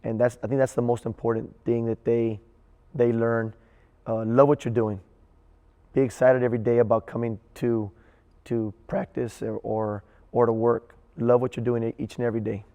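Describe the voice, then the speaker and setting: A male theater director reflects earnestly in an interview. His delivery is halting and fairly monotone, with a mellow tone and noticeable room reverb. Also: medium pitch. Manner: halting, repeats words while thinking.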